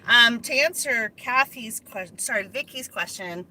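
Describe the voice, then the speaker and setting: A woman from Idaho co-hosts a relaxed live chat, greeting viewers by name. Her voice is bright and her pitch moves up and down a lot, with a faint hiss of background noise. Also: normal speed, rough recording. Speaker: co-host reading viewer comments. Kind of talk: casual livestream chat.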